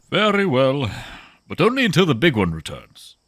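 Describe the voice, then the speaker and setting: A man's voice: medium pitch, a bit bright, no room sound, studio quality, exaggerated voice. A man playing a pompous, greedy corporate executive in a sci-fi audio drama, haughty and condescending in formal wording.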